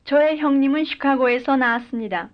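A woman's voice: sits high, a little fast. A woman speaking full model sentences in a language drill, each one carefully and evenly delivered. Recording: dull lo-fi recording.

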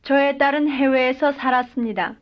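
A woman's voice: high voice, normal speed. A woman speaking full model sentences in a language drill, each one carefully and evenly delivered. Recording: dull lo-fi recording.

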